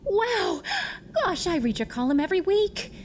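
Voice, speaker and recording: An actress playing an eager, chatty young 1940s cinema usherette, gushing and gossipy with wholesome old-fashioned slang in an audio drama. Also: female voice, very high-pitched, very animated, normal speed, background noise. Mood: star-struck, excited. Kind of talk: film noir audio drama acting.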